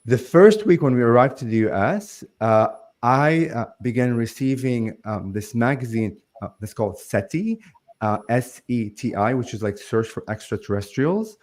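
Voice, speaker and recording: A man's voice: medium pitch, expressive, moderate pace, darkish tone. A man, a guest on a remote call, talking earnestly and loosely with many "like"s and "um"s. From Lebanon. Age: 40s. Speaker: guest, spiritual channel. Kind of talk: conversational interview.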